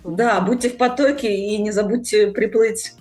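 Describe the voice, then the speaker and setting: A woman's voice: medium pitch, fairly even intonation, moderate pace, a little mellow. A woman hosting a podcast, talking casually with her co-hosts. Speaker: podcast host. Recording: music in the background. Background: music.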